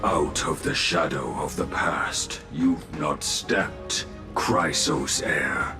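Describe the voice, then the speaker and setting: A man's voice: very deep voice, fairly even intonation, takes it slowly, loud music behind the voice, heavily acted. A man voicing a huge, ancient guardian giant in a video game, solemn and cryptic, with archaic phrasing. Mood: solemn, grave.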